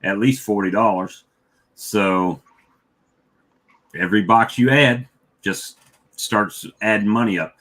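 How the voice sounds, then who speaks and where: A man's voice: lowish voice, slow pace, pauses often, a bit bright. A man in his fifties talking casually about his own views on a livestream with fellow hobbyists. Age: 50s. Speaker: beekeeper livestream host.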